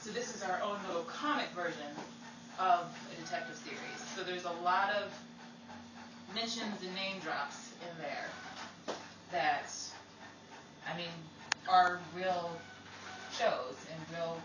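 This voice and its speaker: A woman's voice: fairly low pitch, measured pace, pauses often. Casual woman in a roomy, noisy space. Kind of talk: casual rehearsal chat. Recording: roomy, distant, noisy amateur recording.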